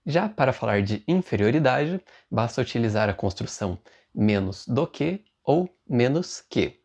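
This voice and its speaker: Brazilian guy teaching a language lesson, kinda husky voice, medium pitch, explains stuff very methodically. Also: darkish tone, highs cut off. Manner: didactic, clearly structured explaining. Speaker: Portuguese teacher, video presenter.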